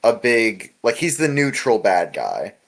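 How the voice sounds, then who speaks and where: A man's voice: mid-range voice, big pitch swings, a little slow, gravelly, a bit bright. A man hosting a casual podcast chat, talking in a rambling, informal way with lots of filler words. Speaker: podcast host. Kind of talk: casual podcast discussion of a webcomic.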